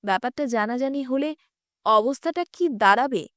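A proud, middle-aged estranged wife, played by a woman reading a story, pressing her demands. The voice is fairly high and dry, with insistent words cut out deliberately. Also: a bit bright, dry recording. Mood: anxious scheming. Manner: insistent, cutting, deliberate articulation.

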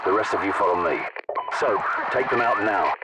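A male actor playing a gruff, commanding British special forces captain in a military shooter game, giving terse, authoritative orders and radio calls. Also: fairly low pitch, moderate pace, heavy background noise, natural voice. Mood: terse, focused.

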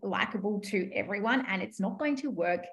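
A woman's voice: medium pitch, animated, normal speed, fairly bright tone. A woman in her forties hosting an interview over a video call from her living room, affirming and asking questions. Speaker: podcast host, coach. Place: home living room with shelves. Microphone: video call webcam.

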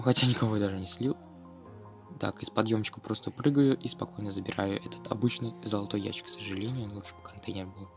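A man giving casual, slangy running commentary on his gameplay for viewers, as host of a gaming video. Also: on the low side.

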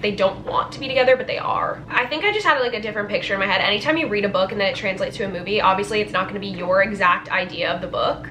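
20s girl vlogging casually, super bright voice, says like a lot, some noise behind her. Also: medium pitch. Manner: expressive, informal, filler-heavy "like". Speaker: book vlogger. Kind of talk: casual chat reaction vlog. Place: small bedroom, indoors.

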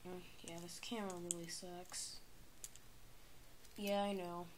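A woman's voice: lowish voice, little pitch movement, unhurried, a little hiss or hum. A woman talking casually to viewers of her toy video, rambling and hesitant, recorded on a phone camera in a carpeted room. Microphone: phone camera near the floor.

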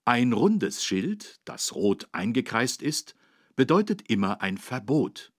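A man's voice: medium pitch, big pitch swings, slow, no room sound, clean studio sound. A man playing a kindly policeman who patiently and emphatically explains things to children in an educational game. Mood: patient, instructive. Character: kindly, careful policeman teaching children road safety.

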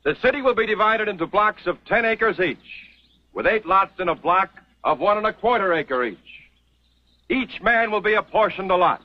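Stern old-west church leader, proclaiming, high voice, dull old tv sound. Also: male voice, animated, normal speed. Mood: solemn. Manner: solemn, proclaiming. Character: stern middle-aged male pioneer church leader. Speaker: church leader laying out a settlement. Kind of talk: 1950s TV western acting.

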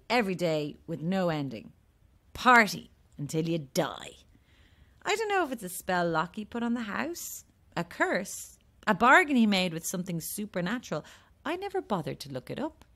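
A woman's voice: mid-range voice, very animated, moderate pace, fairly bright tone. An actress playing a sardonic, world-weary woman trapped at an endless cursed party, dry and blunt, in an audio drama. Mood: matter-of-fact.

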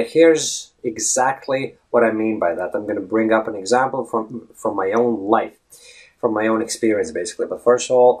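A Latvian-accented man in his thirties talks straight to a webcam in a small room, with a middle-pitched voice. He is chatty and easygoing, often restarting words and stretching some out for emphasis. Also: a little mellow, husky, rough recording. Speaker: English fluency blogger. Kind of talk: casual video blog advice. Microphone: webcam at head-and-shoulders framing.

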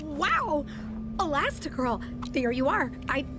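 A woman playing a starstruck, awkward young superhero fan in a video game, halting and flustered. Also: sits high, very animated, heavily acted. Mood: starstruck, nervous. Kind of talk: animated video game cutscene acting. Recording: music behind the voice.

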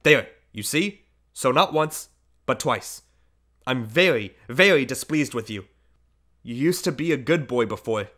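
A middle-aged father, a prosecutor by trade, scolds his son in a measured, firm voice, as a male reader plays him. He leans on his words and sounds louder than the surrounding narration. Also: medium pitch, lively intonation. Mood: scolding.

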